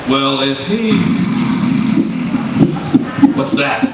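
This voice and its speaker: A gruff, skeptical police inspector, played by a man in a radio serial. The mid-pitched voice varies a lot. It is distant and washed in echo. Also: expressive, extremely slow. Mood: doubtful. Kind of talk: old-time radio drama acting. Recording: very echoey hall, distant mic, noisy.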